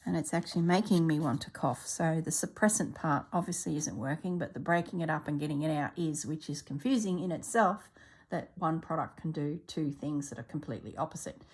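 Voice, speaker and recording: A woman narrating her crafting work in a soft, relaxed voice, sometimes dropping to a whisper, congested and clearing her throat. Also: low-pitched, a little fast. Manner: soft-spoken, often drops to a whisper while working. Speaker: craft video maker.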